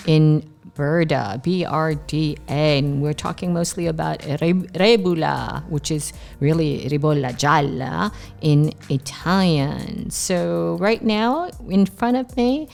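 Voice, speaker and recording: A woman's voice: sits low, takes it slowly, creaky, hardly any pauses, darkish tone. A woman hosting a casual on-location interview, playful and teasing, with bursts of mock indignation. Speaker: podcast host. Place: on location, recorded on the road.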